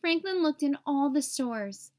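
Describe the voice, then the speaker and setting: High-pitched woman reading a children's storybook. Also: animated, a little slow, a little mellow. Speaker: read-aloud host for young children. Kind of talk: children's storybook read-aloud narration.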